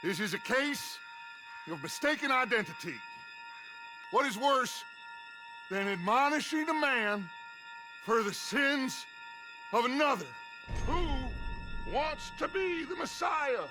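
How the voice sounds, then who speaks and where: A middle-aged American outlaw chief from a Western game, with a high-pitched voice and music behind him. He speaks grandly and persuasively, like an orator rallying his gang. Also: expressive, slow pace, pauses often, exaggerated voice. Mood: theatrical pleading. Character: theatrical, eloquent middle-aged male outlaw gang leader. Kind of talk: cinematic video game acting.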